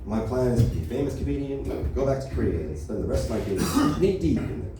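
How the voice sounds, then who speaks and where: A man's voice: mid-range voice, big pitch swings, fast talker. A young man from the Los Angeles area with an American accent, doing a rambling stand-up set full of "you know" and "right?" at an open mic. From Los Angeles area, USA. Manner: rambling, filler-heavy delivery with constant "you know, right?". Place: small comedy club open mic. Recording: echoey room, from far off, noisy.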